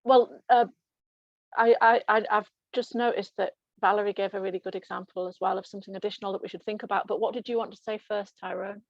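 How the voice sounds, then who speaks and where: A woman's voice: medium pitch, steady tone, quick pace, dry recording, lo-fi sound. A woman who works as a trainer, speaking tentatively and conversationally as she passes turns around an online discussion. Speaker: aviation English trainer.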